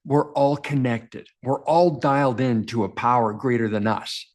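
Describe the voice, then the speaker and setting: Earnest baritone man coaching to camera. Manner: earnest, direct, repeats phrases for emphasis. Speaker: men's coach and show host. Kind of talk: motivational self-help talk to camera.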